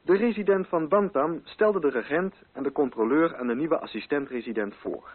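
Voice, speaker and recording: A man reading literary narration for a radio play in a measured, formal and carefully articulated way. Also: fairly high pitch, slightly rough, phone-quality sound.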